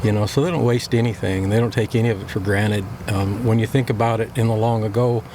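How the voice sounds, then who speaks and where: A man giving reflective interview answers in a thoughtful, hesitant way with many pauses and fillers. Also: lowish voice, steady tone, background noise.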